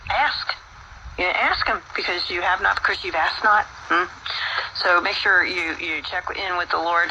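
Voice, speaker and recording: A man with a high, gravelly voice holds forth on his own radio health show. He talks in a folksy, preachy way, full of little fillers, and the broadcast carries a noisy hiss. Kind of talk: casual talk radio monologue.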